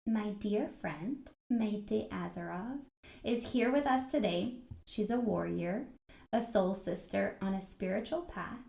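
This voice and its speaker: A woman in her thirties hosting an interview podcast, upbeat when introducing a guest and gentle and supportive when listening. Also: medium pitch, unhurried, creaky, roomy sound. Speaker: podcast host.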